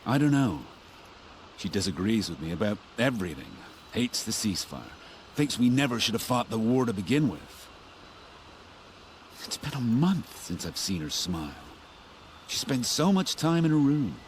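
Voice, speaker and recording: An actor playing a distraught middle-aged father in a video game, speaking in an anguished, halting, emphatic way. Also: on the low side, very animated, slight background noise. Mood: sorrowful, regretful. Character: distraught middle-aged father searching for his missing daughter. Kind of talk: video game character acting.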